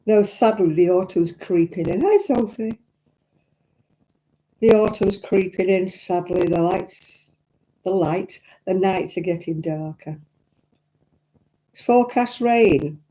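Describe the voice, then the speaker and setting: An older woman talks casually to her live viewers, greeting them as she rambles on. Her voice is croaky and very dark and mellow in tone. The audio from her simple mic has a crackle to it. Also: medium pitch, slow, long pauses. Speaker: crafting livestream host. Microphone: own simple mic, live stream.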